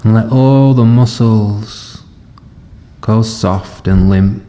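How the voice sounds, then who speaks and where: A man leading a guided body scan meditation as a teacher, speaking gently and unhurriedly in short phrases with long pauses. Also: lowish voice. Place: live session in a reverberant room. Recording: long reverb of a hall.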